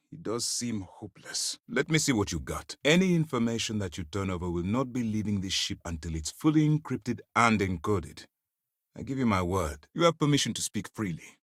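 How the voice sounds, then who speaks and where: An actor playing a stern, principled middle-aged military commander in a space video game, speaking in a measured, formal, authoritative way. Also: male voice, mid-range voice, no echo, clean studio sound. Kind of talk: dramatic video game dialogue.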